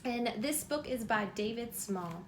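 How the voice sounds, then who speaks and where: Female, very high voice, children's read-aloud teacher, simple prompting questions, some background noise. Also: lively intonation, a little slow. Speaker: teacher reading a picture book aloud to children.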